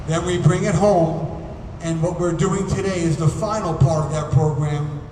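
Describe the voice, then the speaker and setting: A man emceeing a ceremony, reading biographies aloud at a podium in a formal, measured way with occasional hesitations. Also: on the high side, steady tone, mellow, slight background noise. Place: large museum hall. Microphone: podium microphone. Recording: echoey hall, voice from far off.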